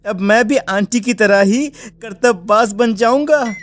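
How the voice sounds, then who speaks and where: Cartoon kid male actor: high voice, naive and keen, simple storybook delivery. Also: slow pace, faint music behind. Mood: excited. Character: eager, naive young village boy.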